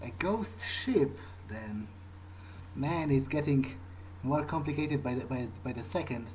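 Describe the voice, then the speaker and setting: A man role-playing a wary, pragmatic vampire in a video game, thinking aloud in a halting, understated way. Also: medium pitch, expressive, a little mellow, a little hiss or hum, close to an everyday voice. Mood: curious, puzzled. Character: male vampire musing to himself, wary, pragmatic. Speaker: gamer playing in character. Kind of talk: improvised in-character game monologue.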